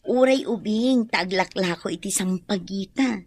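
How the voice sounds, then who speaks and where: A gossipy, friendly neighbour in a radio drama. Her voice is husky, with an Ilocano accent, recorded dry and close. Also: medium pitch, unhurried, no room sound. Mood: inquisitive.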